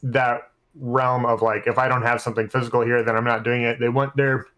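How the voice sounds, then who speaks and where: A man's voice: mid-range voice, level tone, brisk, fairly bright tone. A man co-hosting a casual tabletop gaming discussion as a game master, telling stories in a rambling, freely swearing way. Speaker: podcast co-host and game master; works at a school.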